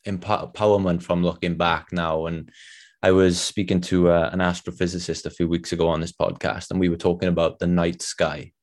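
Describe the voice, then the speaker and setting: Deep, flat-voiced Welsh podcast host. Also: male voice, brisk. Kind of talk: casual interview questions.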